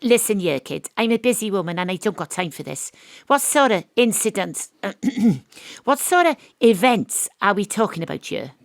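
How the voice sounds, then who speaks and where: A wry female gumshoe, telling her case in mock-noir style. She is brisk and punchy, with very lively pitch and a dry, close sound, in a comic audio drama. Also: vocal fry, no echo. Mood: brisk questioning. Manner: deadpan noir-style narration, punchy questioning.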